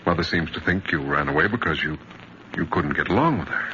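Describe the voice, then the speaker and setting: A soothing, devoted father in a vintage radio play. His voice is low and forward, earnest and reassuring, with the narrow crackle of an old broadcast. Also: lively intonation, brisk, pushed and bright, exaggerated voice. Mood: gentle, coaxing. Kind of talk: 1950s radio drama acting. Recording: scratchy, band-limited vintage radio recording.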